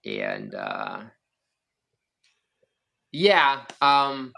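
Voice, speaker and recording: A man's voice: medium pitch, wildly swooping pitch, drawn-out words, creaky, pushed and bright. A man reviewing sunglasses in a casual chat, talking in a hesitant way with lots of fillers and restarts.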